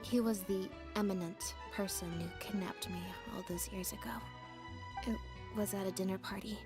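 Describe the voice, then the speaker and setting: An actress voicing a reserved, composed young woman in a video game, with an understated, measured, soft-spoken delivery. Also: medium pitch, natural voice. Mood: subdued, guarded. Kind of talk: scripted video game voice acting. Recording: game audio under clear music. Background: background music.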